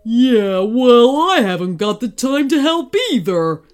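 A woman voicing a proud, blustering male tiger in a children's story, with a gruff, defiant growl. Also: fairly high pitch, animated, slow, a little mellow, soft background music.

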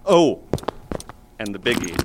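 An actor playing a chatty, folksy small-town mayor who rambles on in a neighborly way. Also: male voice, lowish voice, sing-song, takes it slowly, very noisy. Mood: chatty, welcoming. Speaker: actor in audio drama. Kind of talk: naturalistic audio drama acting.

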